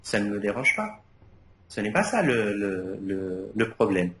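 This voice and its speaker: A man's voice: lowish voice, sing-song, measured pace, a bit bright. A man in his fifties or older, a native Arabic speaker, giving spoken feedback on a master's thesis at a defense, hesitant and full of fillers. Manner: hesitant, many fillers and restarts. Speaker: thesis jury member. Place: university defense room. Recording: echoey room, faint background noise.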